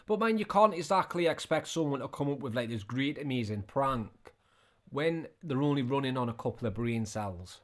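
A man's voice: mid-range voice, lively intonation, normal speed. A man in his thirties giving casual, scornful reaction commentary, often repeating his questions. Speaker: reaction video host.

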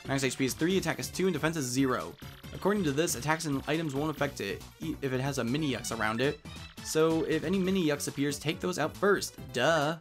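A man's voice: fairly high pitch, quick pace. A man giving casual commentary on a video game he is playing, explaining its mechanics as he goes. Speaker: let's play host. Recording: game music behind.

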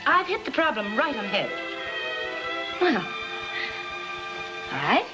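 A woman's voice: high voice, wildly swooping pitch, slow pace, heavily acted, rough recording. An actress playing an earnest, self-important middle-aged mother with a grand declamatory manner, in 1950s film style. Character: earnest, self-important suburban mother rehearsing her speeches. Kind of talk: 1950s American film acting. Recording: 1950s film soundtrack with music behind.

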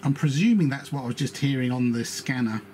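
Male plane-spotting hobbyist, casual and opinionated, grumbling commentary, clear background noise. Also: medium pitch, fairly quick, darkish tone. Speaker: aviation hobbyist narrating radio scanner and flight tracker. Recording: radio and ambient noise behind voice.

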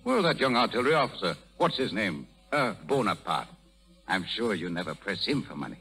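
An actor playing a sly, self-serving schemer in a 1930s radio drama, suave, formal and wheedling. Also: male voice, fairly low pitch, big pitch swings, fairly quick, bright, forceful tone, breathy edge, soft background music, full character voice. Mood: suave, evasive. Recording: old 1930s radio broadcast.

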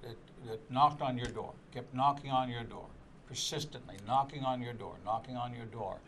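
A man's voice: mid-range voice, normal speed, fairly bright tone, a little hiss or hum. An older man, a rabbi hosting a TV studio panel, speaking in an earnest preaching tone and repeating words as he goes. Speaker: rabbi and show host. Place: TV studio set.

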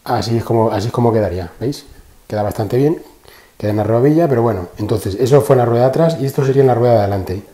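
A man's voice: medium pitch, racing, mellow, reverberant. A man gives a casual, chatty how-to explanation and repeats himself often. He records on a phone held in one hand in an indoor garage. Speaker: car channel host.

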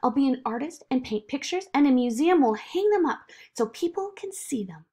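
Storybook little girl, acted by a woman: eager, boastful, defiant, high-pitched with big melodic swings. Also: expressive, normal speed, mellow. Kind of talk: animated picture-book read-aloud.

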